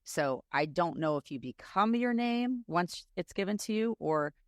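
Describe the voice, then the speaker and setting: A woman with a raspy, deep voice, co-hosting a casual podcast chat and telling stories in an animated way. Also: unhurried, no room sound, studio quality. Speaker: podcast co-host.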